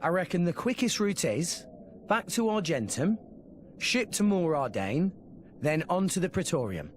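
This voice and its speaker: Eager, high-voiced teenage boy adventurer. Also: big pitch swings, measured pace, a little hiss or hum. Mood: upbeat, confident. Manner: casual, eager, informal speech. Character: upbeat, earnest teenage boy salvager and trader. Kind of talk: video game cutscene acting.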